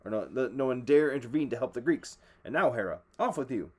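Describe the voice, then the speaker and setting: A man's voice: mid-range voice, lively intonation, quick pace, voice pushed up, close to an everyday voice. A man voicing the angry male king of the gods issuing stern decrees.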